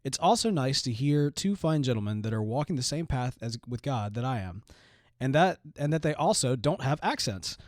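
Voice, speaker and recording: A man co-hosting a podcast, talking in an animated, casual way with lots of fillers and restarts. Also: medium pitch, normal speed, no room sound, studio quality. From Southern US. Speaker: podcast co-host. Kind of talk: casual chat.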